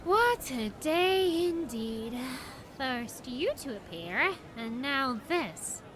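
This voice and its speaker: A woman's voice: high-pitched, big pitch swings, extremely slow, exaggerated voice. A woman playing a proud, blunt warrior companion with a wry manner in a fan audio drama. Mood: wry weariness. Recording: clearly noisy amateur recording.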